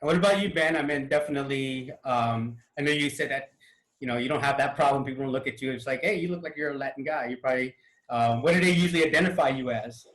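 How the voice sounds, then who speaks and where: A man hosting a relaxed panel conversation over a video call, chatty and loose, often repeating words as he goes. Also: mid-range voice, fast talker, echoey room, rough recording. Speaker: panel host. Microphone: video call mic.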